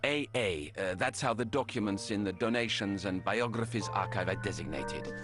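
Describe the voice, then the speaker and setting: A man's voice: on the low side, normal speed, a bit bright, natural voice. An actor playing a concerned, helpful male academic in a horror video game, with an earnest, explanatory way of talking. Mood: helpful, matter-of-fact. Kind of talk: dramatic video game dialogue. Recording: music behind the voice.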